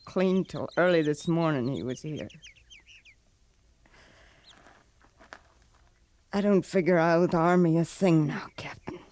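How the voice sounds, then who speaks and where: An actress playing a bitter, wounded widowed homesteader in an old-time radio western, speaking haltingly and with intense feeling. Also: female voice, low voice, darkish tone, lightly acted. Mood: numb, quiet. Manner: halting, emotionally intense, accusing. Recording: old radio drama recording.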